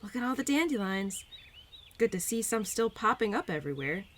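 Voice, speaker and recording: A woman's voice: mid-range voice, very animated, fairly quick, slight background noise, natural voice. A woman playing a bubbly, affectionate young spirit of Spring in a roleplay audio drama, talking to the listener in a gushing, giggly, teasing way. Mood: cheerful, affectionate.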